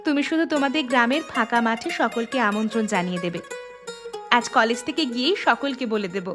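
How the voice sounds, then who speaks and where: A woman plays a kind young village woman in a cartoon story. Her voice is high, with an earnest, polite way of speaking. Clear music plays underneath. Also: brisk, natural voice. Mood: earnest, grateful. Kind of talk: Bengali cartoon voice acting.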